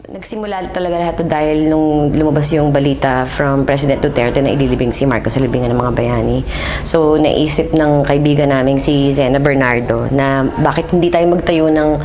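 Low-voiced middle-aged Filipino woman. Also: fairly even intonation, background noise. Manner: measured, switches between Tagalog and English. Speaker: interviewee, theatre festival organizer. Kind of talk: on-camera interview, explanatory talk. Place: indoor exhibit room.

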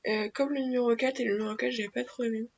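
Teenage girl, chatty vlog, higher voice, dull lo-fi webcam sound, faint hiss. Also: steady tone, rapid-fire, gravelly. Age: teens. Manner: chatty, many fillers and restarts. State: stuffy nose from a cold. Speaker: beauty vlogger. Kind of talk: casual daily unboxing vlog. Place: small attic bedroom. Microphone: webcam built-in mic.

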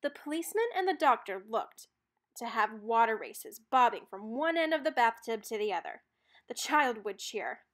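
A woman narrating a children's storybook aloud in an expressive read-aloud style. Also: a little high, moderate pace.

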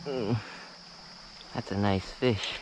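Low, slow-talking man by a rushing stream. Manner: low-key, short remarks to himself and the fish. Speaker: fly fishing vlogger. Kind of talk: casual running commentary while fishing. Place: outdoors wading a small stream. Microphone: head-mounted action camera. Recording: action camera with loud stream noise. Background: rushing stream water.

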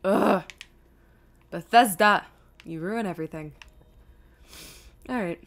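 A woman's voice: medium pitch, slow. A woman giving playful, chatty, casual commentary as she streams a game.

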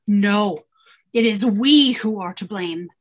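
A woman's voice: medium pitch, takes it slowly. A woman acting a remorseful, solemn company official in an old radio drama, with a mournful delivery.

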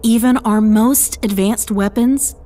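An actress playing a playful, excitable young space explorer, speaking in an expressive, coaxing manner. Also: female voice, fairly high pitch, slow pace, slight background noise. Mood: alarmed. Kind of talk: audio drama acting.

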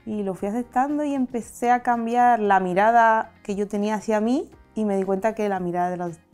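Soft-toned woman from Madrid reflecting. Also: medium pitch, fairly quick, soft background music. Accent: Castilian Spanish. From Madrid, Spain. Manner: reflective, open, gesturing with her hands. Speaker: interviewee. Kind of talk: candid personal testimony. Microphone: lapel mic.

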